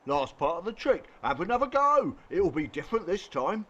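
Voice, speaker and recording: A man's voice: sits high, very animated, moderate pace, fairly bright tone, lo-fi sound, full character voice. An actor playing a blunt, streetwise male friend in a radio play, sardonic and challenging in colloquial speech.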